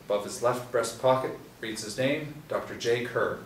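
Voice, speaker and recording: A man reading screenplay stage directions aloud at a table read, in a plain, even way. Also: medium pitch, normal speed. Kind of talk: script reading. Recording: roomy echo, distant mic, faint hiss.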